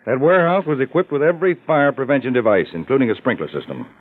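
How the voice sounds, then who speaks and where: A calm but brisk agent chief who talks quickly and keeps his voice lively, played by a man. The 1940s radio play comes through a thin, narrow broadcast with little low or high end. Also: slight background noise, close to an everyday voice. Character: brisk, level-headed male counter-spy chief.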